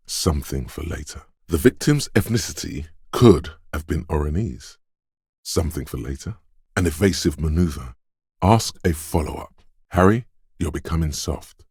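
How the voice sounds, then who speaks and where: A watchful inner voice narrating a detective video game. He is extremely deep, with a slightly husky edge and a dry studio sound. The delivery is deliberate and knowing, wry yet always alert. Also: darkish tone, dry recording, full character voice.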